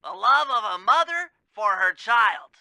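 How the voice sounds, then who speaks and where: A man's voice: extremely high pitch, very animated, unhurried, vocal fry, bright, forceful tone, dry recording, studio quality, full character voice. A man voicing a brainy, anxious animal gadget expert in a cartoon video game, nasal and precise in formal technical phrasing. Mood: explaining, clever.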